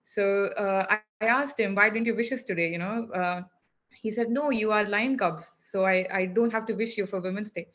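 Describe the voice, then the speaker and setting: A woman chats casually in a group, circling back over phrases as she shares personal stories. Her voice sits at an average pitch. The recording is dull and slightly lo-fi. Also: moderate pace, fairly bright tone.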